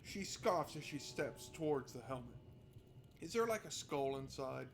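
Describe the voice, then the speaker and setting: A man's voice: medium pitch, lively intonation, normal speed, gravelly, faint music behind. A man doing let's play commentary, reading narration aloud and adding sarcastic, exasperated asides. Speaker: video game streamer. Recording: noticeable background noise.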